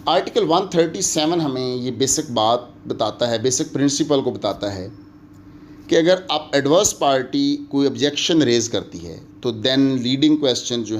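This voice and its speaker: Man, Pakistani accent, mid-pitched voice, didactic lecturer, code-switches into English, slight background noise. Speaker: law lecturer. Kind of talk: explanatory lecture, switching between Urdu and English.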